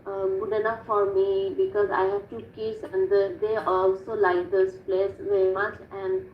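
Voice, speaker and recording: A woman's voice: medium pitch, level tone, moderate pace. A woman answering practice speaking-test questions over a video call, hesitant with many fillers and restarts. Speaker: IELTS student, mother of two. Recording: dull echoey call audio with faint noise.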